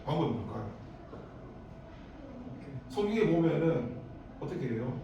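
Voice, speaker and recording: A man's voice: mid-range voice, far from the mic, noisy background. A man with a Daegu accent preaching a youth service sermon, telling stories with sudden bursts of emphasis and rambling asides. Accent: Daegu Korean. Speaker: pastor. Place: church sanctuary. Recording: echoey church hall, preacher off-mic.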